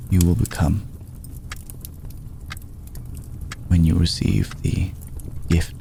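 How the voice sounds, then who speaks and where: A man voicing an ancient, courtly, protective vampire lord in a hushed ASMR roleplay, speaking formally and with deliberate pauses. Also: low-pitched, creaky, slight background noise, full character voice. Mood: grave, confiding. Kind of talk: ASMR roleplay, slow intimate scripted monologue.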